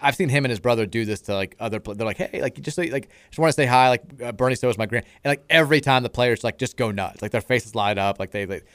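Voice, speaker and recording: A man's voice: medium pitch, quick pace, few pauses, clean tone, no echo, clean studio sound. Rambling man on sports talk radio. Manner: rambling storyteller, lots of "like" fillers. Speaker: radio co-host. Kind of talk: casual sports radio chat.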